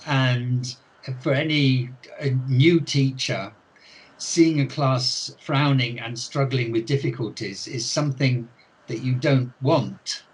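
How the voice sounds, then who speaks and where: An older man speaks slowly in a husky voice, as an author being interviewed. He thinks aloud, pausing and circling back over his words as he explains his ideas. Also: medium pitch, rough recording. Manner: thoughtful, many restarts and hesitations. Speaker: author and ELT expert guest. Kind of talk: unscripted interview talk.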